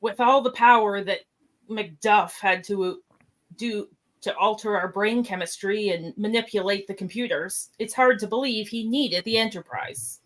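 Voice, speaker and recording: A woman playing an awkward, earnest first officer in an amateur radio play, with a halting, hesitant delivery. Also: medium pitch, lightly acted. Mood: puzzled.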